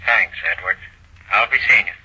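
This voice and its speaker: An actor playing a cool, furtive gang member speaking on the telephone in an old-time radio crime drama. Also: male voice, on the low side, moderate pace, sharp tone. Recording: very noisy muffled telephone-style radio recording.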